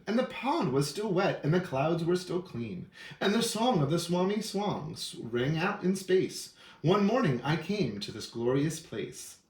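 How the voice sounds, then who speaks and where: Man acting an animated old storybook hermit. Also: a little high. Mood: nostalgic. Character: secretive, boastful old storybook hermit recounting his past. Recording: roomy echo.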